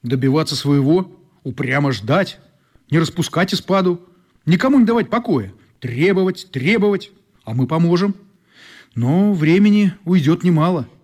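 Sympathetic frank man, husky, very varied intonation, radio drama. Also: fairly high pitch, animated, normal speed, darkish tone. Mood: earnest, encouraging. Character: sympathetic, frank adult man explaining troubles to a boy. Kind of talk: radio play acting.

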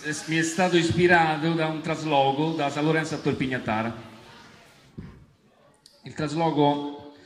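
A man's voice: medium pitch, fairly bright tone. A man with a Roman accent delivers a comic spoken-word piece to an audience. His phrasing is declamatory and insistent. The recording is very echoey, with music in it. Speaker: stage performer. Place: performance space with an audience. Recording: echoey hall, music behind.

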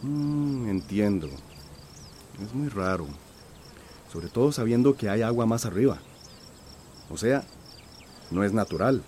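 A man's voice: fairly low pitch, animated, slow pace, long pauses, warm tone, a little hiss or hum. A man playing a polite, hesitant newcomer to a village in a radio drama. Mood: puzzled, polite. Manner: courteous, hesitant.

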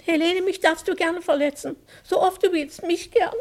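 An actress playing a timid, devoted, sentimental widow in a German radio play, plaintive, submissive and hesitant in manner. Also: female voice, very high-pitched, fairly even intonation, normal speed. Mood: meek, forgiving.

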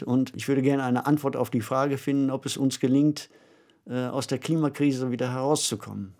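A man co-hosting a podcast, talking in a conversational, reflective way with measured phrasing. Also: mid-range voice, a little mellow. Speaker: podcast co-host.

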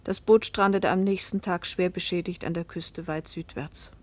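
A woman's voice: on the low side, steady tone, normal speed, a little mellow, close to an everyday voice. A woman playing a passionate, remorseful young wife and mother in a German radio play, intimate and confessional in manner. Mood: sorrowful, reflective. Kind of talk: German radio play acting and first-person narration.

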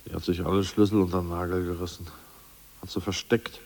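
An actor playing an anxious, complaining ousted party official in a radio drama. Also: male voice, low voice, little pitch movement, fairly quick. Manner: fretful, plaintive, complaining. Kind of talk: 1980s radio drama acting.

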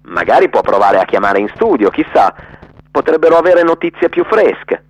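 A man voicing an apologetic but brisk official answering the telephone in a radio play. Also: medium pitch, very animated, slightly rough, no echo, dull audio. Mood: helpful suggestion.

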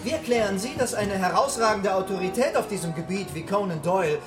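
A man's voice: high-pitched, a little fast, natural voice. An actor playing a formal, probing male politician questioning a witness at a hearing in a German radio drama. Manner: formal, pressing. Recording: music behind voice.

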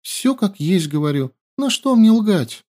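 A man's voice: high-pitched, big pitch swings, a little slow, dark tone, pitched above the performer's own voice. A man voicing a cunning young woman herbalist who plays the helpless captive with a whiny, pleading voice. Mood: wailing.

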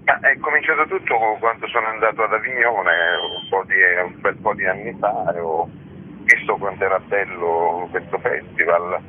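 A man with a fairly low, rough-edged voice answers as a guest in a radio interview. He is discursive and matter-of-fact, explaining things conversationally. The audio is muffled and lo-fi. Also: moderate pace. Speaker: festival founder and organiser, interview guest.

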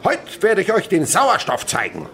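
An actor voicing an excitable, pompous chemistry teacher in a radio play, declaiming every phrase emphatically. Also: male voice, sits high, moderate pace, pitched above the performer's own voice, heavily acted. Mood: pompous, commanding. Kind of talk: German radio play acting.

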